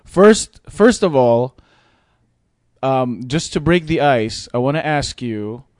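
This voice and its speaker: A man's voice: medium pitch, lively intonation, slow pace, darkish tone, no echo, clean studio sound. A man hosting a podcast interview in a casual, teasing, joking way. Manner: jokey, teasing. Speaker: podcast host.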